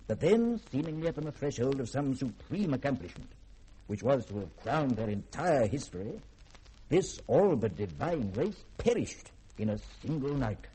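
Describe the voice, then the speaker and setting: Casting: proud, imposing doctor of science, mellow but rough-edged voice, solemn oratorical radio drama delivery. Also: male voice, medium pitch, rough recording. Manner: measured, oratorical, portentous. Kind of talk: 1950s-style radio drama monologue.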